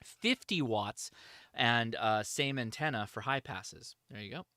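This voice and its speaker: A man's voice: mid-range voice, a little slow, bright, forceful tone, no room sound, studio quality. A man hosting a livestream, answering chat questions in a casual, informal, enthusiastic hobbyist way.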